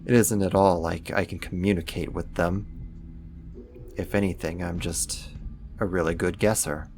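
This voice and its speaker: A man acting in an ASMR roleplay as a caring, wry young supervillain roommate, speaking softly and conversationally with hesitant pauses and gentle teasing. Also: sits low, fairly even intonation, a little hiss or hum. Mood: soft, evasive.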